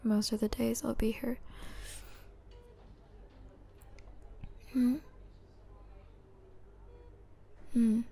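A woman acts out a vulnerable young woman in an ASMR drama, grieving and anxious. Her high voice is mellow and breathy, with halting, hushed phrases. Noisy hospital ambience sits behind her. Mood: subdued, fond. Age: young adult. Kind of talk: ASMR roleplay acting.

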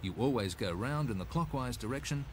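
A man's voice: mid-range voice, expressive, a little fast, slight background noise. A man with an Australian accent narrating a scripted 1980s road safety film in an instructive, slightly folksy way.

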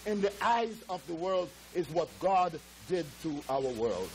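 A man in his seventies, from Trinidad, preaching a sermon on a church stage through a headset mic, stretching words and breaking into shouted exclamations. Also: a little high, sing-song, measured pace, background noise. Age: 70s. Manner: moves and gestures around the pulpit. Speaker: pastor. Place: church stage with drum kit.

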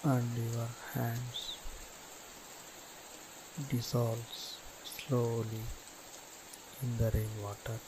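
Very slow-speaking man guiding meditation. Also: mid-range voice, monotone, noisy background. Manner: soothing, deliberate, short phrases with long pauses. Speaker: meditation guide. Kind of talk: guided meditation instruction.

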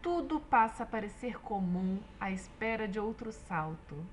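A woman narrating a scripted children's story in an expressive, explanatory way. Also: medium pitch, measured pace, a little hiss or hum.

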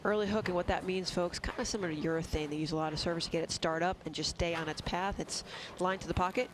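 A man with a fairly high voice explains bowling technique shot by shot, analytical and detailed. Clear background noise runs under him. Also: fairly even intonation, fast talker. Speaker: color commentator. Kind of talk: analytical sports commentary.

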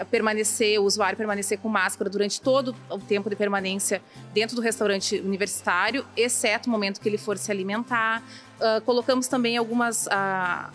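A woman in her thirties with a Brazilian Portuguese accent explains procedures in an interview in a large empty dining hall, speaking into a handheld mic. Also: medium pitch. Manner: explanatory, uses "né". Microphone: handheld reporter mic.

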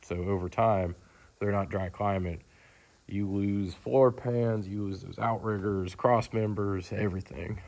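This is a man with a low-pitched voice that often drops into a gravelly fry. He talks like a dealer showing off a vehicle on camera, informal and unscripted. His delivery is matter-of-fact and rambles a little from one point to the next. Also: fairly even intonation, measured pace, a little mellow. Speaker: car dealer presenting a vehicle. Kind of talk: casual off-the-cuff sales walkaround. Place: large indoor car showroom. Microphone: handheld camera, speaker behind it.

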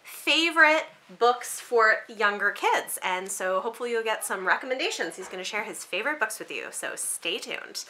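Bright-voiced woman chatting encouragingly. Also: a little high, animated, normal speed, hardly any pauses. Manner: encouraging, echoes back the child's words. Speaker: book vlogger and mother interviewing her young child. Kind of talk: casual chat, coaxing questions to a young child. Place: small room with bookshelves, indoors.

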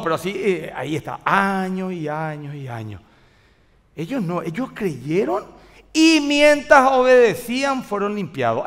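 A Paraguayan pastor in his forties delivers an animated sermon, voicing little dialogues as he goes. His voice sits high for a man and climbs and drops dramatically. The church hall adds a long reverb tail. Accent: Paraguayan Spanish. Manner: animated preaching with acted dialogue and gestures. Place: large church stage with live congregation.

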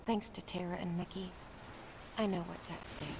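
A woman playing a resolute, selfless young warrior in a video game, speaking in a solemn, earnest, measured way. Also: lowish voice, steady tone, background noise, close to an everyday voice. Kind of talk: video game cutscene acting. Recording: loud music behind the voice.

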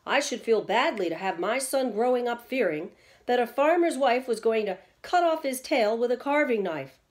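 A woman's voice: a little high, expressive, normal speed, pitched above the performer's own voice, lightly acted. Storybook dad, worried and protective, earnest and formal, played by a female reader.

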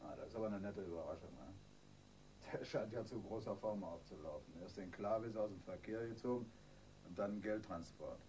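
An actor playing a wry, self-assured police detective in a radio crime drama, colloquial and needling. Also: male voice, fairly low pitch, a little fast, dark tone, natural voice, low-quality recording. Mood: wry, pleased. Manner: colloquial, sardonic. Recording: radio play with faint noise.

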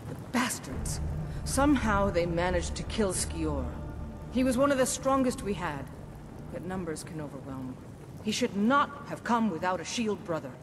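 A woman's voice: on the low side, animated, moderate pace, natural voice. Woman acting fierce female warrior, tough, resolute, vengeful, fantasy game character, noisy ambience behind. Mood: angry, grieving.

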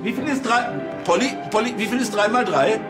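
A man's voice: high-pitched, brisk, over loud music, lightly acted. A man playing a pompous, easily delighted middle-aged police officer in a comedic crime drama, fussy and stammering. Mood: childlike delight.

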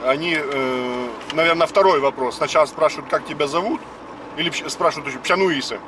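A man's voice: fairly high pitch, fairly quick. Chatty man in his fifties teaching in a car. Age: 50s. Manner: chatty, explains word by word. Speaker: language lesson host. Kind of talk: casual spoken lesson while driving. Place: car on the road. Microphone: dashboard camera. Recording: distant car mic with road noise.

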